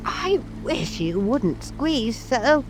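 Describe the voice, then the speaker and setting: A woman as a slow, sulky little dormouse. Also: fairly high pitch, big pitch swings, music in the background, heavily acted, rough recording. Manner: slow, complaining. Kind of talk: dramatized audiobook.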